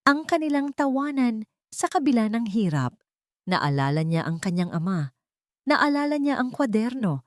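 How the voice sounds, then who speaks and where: A Filipino woman reads a dramatic story in a lowish voice that rises and falls widely. Her phrasing is measured and sentimental, broken into short units. The recording is dry and close, with hardly any room sound. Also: darkish tone, dry recording. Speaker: storyteller, narrator. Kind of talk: scripted dramatic story narration.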